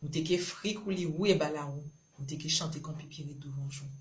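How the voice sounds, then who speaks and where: A woman's voice: low-pitched, moderate pace, a little hiss or hum. Guadeloupean Creole woman reciting poetry. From Marie-Galante, Guadeloupe. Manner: rhythmic, incantatory listing in short phrases. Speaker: poet. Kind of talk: spoken-word love poetry recital.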